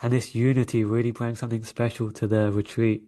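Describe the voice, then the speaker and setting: A man with a London accent speaks at an ordinary middle pitch. He talks conversationally, as a guest sharing personal stories in an interview. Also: flat delivery, a little fast, mellow. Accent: London English. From London, England. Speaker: interview guest, coach and energy healer.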